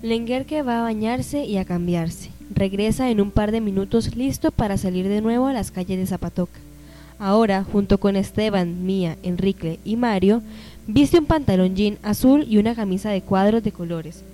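A woman's voice: medium pitch, moderate pace, low-quality recording. A woman reading scripted narration for a radio drama. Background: soft music.

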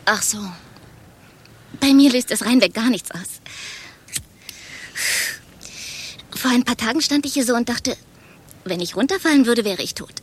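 Animated, brash secretary. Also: female voice, a little high, moderate pace, husky. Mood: flippant. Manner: gossipy, rambling, exclamatory. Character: brash, chatty, bawdy female office secretary. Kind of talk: German radio play acting.

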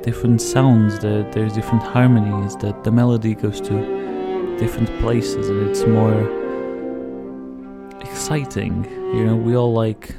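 A man's voice: lowish voice, measured pace. A man whose first language is Portuguese, hosting a casual radio show and rambling hesitantly, often repeating words. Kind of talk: casual commentary over music. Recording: loud music playing under voice. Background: classical viola and piano music.

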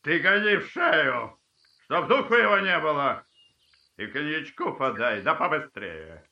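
A sly, swooping old buffoon. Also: male voice, fairly high pitch, very animated, unhurried, vocal fry, highs cut off, heavily acted. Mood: groggy, imperious. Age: older. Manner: sly, mock-affectionate, clownish, chuckling. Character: old debauched father, mocking, wheedling buffoon. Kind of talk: Russian audio drama acting.

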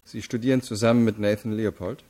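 Casting: male actor for a formal state attorney questioning suspects, lowish voice, measured, insistent, radio crime drama. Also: steady tone, warm tone, lightly acted. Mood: probing. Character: stern, probing adult male investigating state attorney. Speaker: interrogator.

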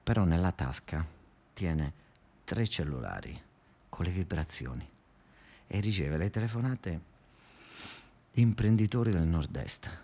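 A man with a southern Italian accent performing a satirical monologue, colloquial and emphatic, with dramatic pauses. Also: low voice, animated, darkish tone. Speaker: actor-narrator. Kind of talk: satirical theatrical monologue, storytelling.

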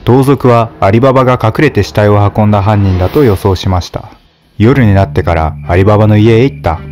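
A man narrating a fairy tale in a steady, measured scripted reading. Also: fairly low pitch, faint music behind.

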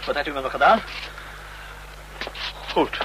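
An actor playing a hot-headed, agitated young man in a radio drama, quick to accuse and bite. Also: on the high side, expressive. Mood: dazed, shaken. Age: young adult. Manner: biting, mocking, agitated. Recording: noisy, humming old radio drama recording.

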